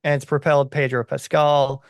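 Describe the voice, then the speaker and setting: A man giving his opinions in a casual podcast chat, enthusiastic but halting as he thinks aloud. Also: medium pitch, little pitch movement, clear, resonant tone, no room sound, studio quality.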